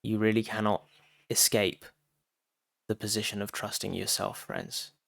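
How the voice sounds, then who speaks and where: Guy leading a guided meditation, really slow and deliberate w lots of pauses, dry sound. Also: lowish voice, level tone, gravelly, a bit bright, no room sound. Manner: deliberate phrasing with frequent pauses, addressing listeners as friends. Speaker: meditation teacher.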